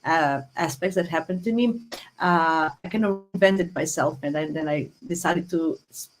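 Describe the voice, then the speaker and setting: A woman in her forties with a Croatian accent and a slightly low voice. She talks casually about herself as a guest, repeating words and starting sentences over as she goes. She is speaking through a phone app on a video call. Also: poor audio. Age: 40s. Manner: halting, repeats words and restarts often. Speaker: guest astrologer. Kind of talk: casual interview talk, telling personal story.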